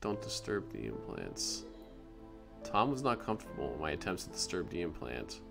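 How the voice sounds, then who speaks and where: A man's voice: sits low, wildly swooping pitch, moderate pace, creaky, over music. A man hosting a let's play, chatty and musing aloud as he reads on-screen text. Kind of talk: gaming commentary.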